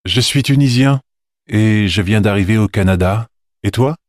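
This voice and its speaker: A man's voice: on the low side, moderate pace, a little mellow, no room sound, clean studio sound, lightly acted. A man playing a polite, helpful everyday character in a scripted language-exam dialogue.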